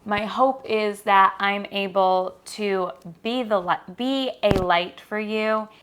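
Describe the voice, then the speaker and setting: Podcast host: young American woman, unhurried, crisp and bright, speaking earnestly and encouragingly straight to listeners. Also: medium pitch. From Michigan, United States. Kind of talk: solo podcast monologue, personal introduction.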